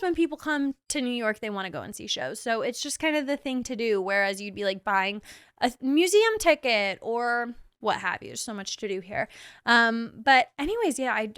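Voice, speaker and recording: Young woman, high voice, smooth and clear, chatty podcast host, gushing. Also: fairly bright tone, dry recording, studio quality. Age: 20s. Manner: gushing, chatty, heavy use of "like". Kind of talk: casual solo podcast chat.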